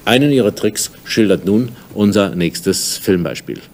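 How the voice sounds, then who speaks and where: A man's voice: on the low side, lively intonation, measured pace, a little mellow, a little hiss or hum. A middle-aged man presenting from a studio desk, scripted, sober and formal.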